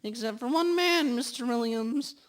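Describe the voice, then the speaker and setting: A woman playing a loyal, slow-witted detective's sidekick in a radio drama, with hesitant, drawn-out delivery. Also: fairly high pitch, close to an everyday voice. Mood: droll. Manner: hesitant, drawn-out, humming before answering. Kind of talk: amateur school radio drama acting.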